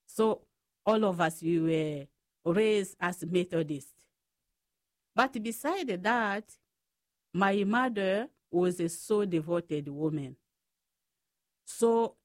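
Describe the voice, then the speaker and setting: A woman with a Congolese accent speaks slowly as an interview guest, recounting her life in short, halting phrases. Her pitch is medium for a woman and the sound is dry and close. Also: no room sound. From Democratic Republic of the Congo. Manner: halting phrases in non-native English grammar. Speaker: guest, Franciscan sister. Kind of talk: personal storytelling in an interview.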